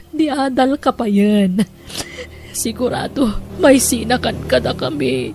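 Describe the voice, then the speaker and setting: A woman's voice: a little high, a little mellow, slight background noise. A woman playing a devoted, sentimental Filipino mother and wife in an emotional audio drama, with a Filipino accent. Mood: moved.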